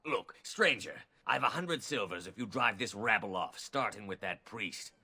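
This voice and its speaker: Gravelly, blustering greedy merchant. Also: male voice, on the low side, expressive, normal speed, sharp tone, no room sound, heavily acted. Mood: indignant. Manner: blustering, haggling. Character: indignant, greedy, profiteering male merchant. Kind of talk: video game voice acting.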